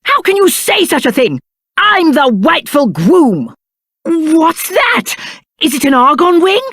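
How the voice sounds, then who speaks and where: A man's voice: extremely high pitch, big pitch swings, takes it slowly, fairly bright tone, no echo, studio quality, heavily acted. A British man playing a spoiled, cowardly young prince in a video game, with a pompous, petulant and theatrical delivery. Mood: outraged. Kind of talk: video game character acting.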